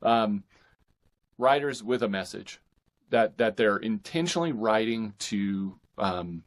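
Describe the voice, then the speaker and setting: A man with a fairly low voice talks as a guest author in a casual interview. His phrasing is thoughtful and halting, feeling its way through each answer. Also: animated.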